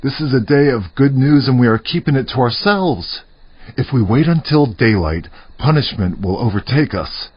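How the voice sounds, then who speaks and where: Bible drama: starving male outcast played by a man, desperate, husky, very animated, slightly muffled sound. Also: medium pitch, moderate pace. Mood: conscience-stricken, urgent. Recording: dull, muffled audio.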